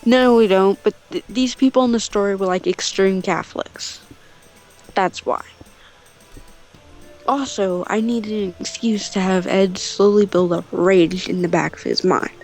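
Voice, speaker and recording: A woman's voice: mid-range voice, unhurried, darkish tone, faint music behind. A woman telling a story casually and off the cuff, rambling, with offhand asides. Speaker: storyteller on a gaming channel.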